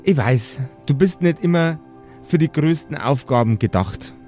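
A man's voice: fairly high pitch, expressive, measured pace, darkish tone, faint music behind. A man with a Bavarian accent voicing an inventive, bossy mother who instructs her grown sons in an improvised fantasy audio game. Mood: proudly instructing. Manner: instructing, exasperated, rustic.

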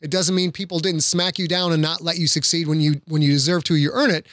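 A man's voice: fairly high pitch, level tone, fast, a bit bright, no echo, studio quality. A middle-aged man in a casual podcast discussion, explaining things in an earnest, plain-spoken way.

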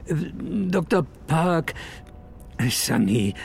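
An actress playing an unsettling, probing superior with a doctorate and a creaky voice in an audio drama. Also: female voice, low-pitched, animated, extremely slow, darkish tone, a little hiss or hum, full character voice. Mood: insinuating. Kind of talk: scripted audio drama acting.

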